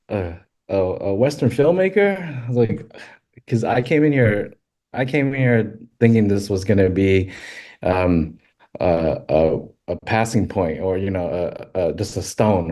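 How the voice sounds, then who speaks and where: A man from Thailand, a podcast guest, speaking haltingly with many fillers and restarts in a casual interview. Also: fairly low pitch, lively intonation, dark tone. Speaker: podcast guest, western filmmaker.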